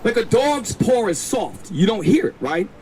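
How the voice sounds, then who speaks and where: High-voiced forceful male street preacher. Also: big pitch swings, normal speed. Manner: forceful, insistent, repeats himself, tells the reader when to read. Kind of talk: street preaching and scripture teaching. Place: outdoors on a city street. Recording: noisy outdoor street recording. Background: street noise.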